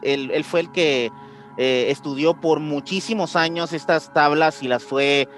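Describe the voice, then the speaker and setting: A man's voice: fairly high pitch, a little slow, a bit bright, soft background music. A man with a Mexican accent hosting a podcast, telling a story casually in slangy speech and often searching for words. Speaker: podcast host.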